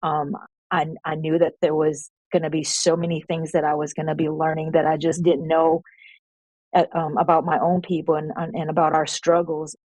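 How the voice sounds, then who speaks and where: A woman reflecting slowly and softly in a podcast interview about contributing to a book. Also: sits low, level tone, no room sound.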